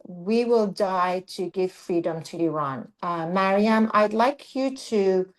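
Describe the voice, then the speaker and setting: Female webinar moderator, mid pitch, deliberate pacing, video-call audio. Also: low-quality recording. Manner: measured, deliberate, framing questions. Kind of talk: moderating a panel discussion.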